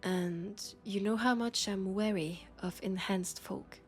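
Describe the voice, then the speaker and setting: Woman playing a weary policewoman, French accent, slow hesitant confiding delivery, medium pitch, intimate sci-fi audio drama. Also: faint music behind, natural voice. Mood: serious, resigned. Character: weary, guarded young policewoman confiding in her housemate.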